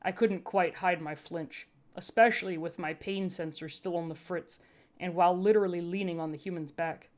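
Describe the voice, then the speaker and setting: A woman reads fiction aloud in the first person. Her voice sits a little low for a woman. The delivery is scripted audiobook narration. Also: fairly even intonation, moderate pace, darkish tone. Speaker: fan fiction audiobook reader.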